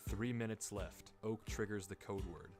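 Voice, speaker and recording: A man with a fairly low voice narrates in a dramatic countdown style, marking out each beat of a suspenseful game. Loud music plays behind him the whole time. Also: moderate pace.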